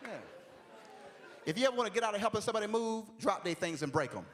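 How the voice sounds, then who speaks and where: A man delivers a stand-up set in an easy, conversational storytelling way. His voice sits high for a man. A faint noise runs underneath. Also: lively intonation, fast, crowd noise. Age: 40s. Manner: conversational storytelling, repeats "you know what I'm saying". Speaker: stand-up comedian. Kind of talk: stand-up comedy routine.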